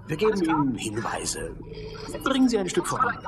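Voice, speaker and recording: An actor playing a confident, evasive doctor who designs a game world, in a radio drama. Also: male voice, fairly high pitch, wildly swooping pitch, normal speed, vocal fry, heavy background noise, exaggerated voice.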